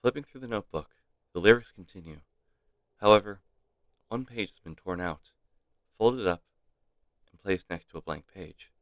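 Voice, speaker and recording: A man streaming a game, reading its text aloud dramatically with measured, theatrical pauses. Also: low voice, no room sound.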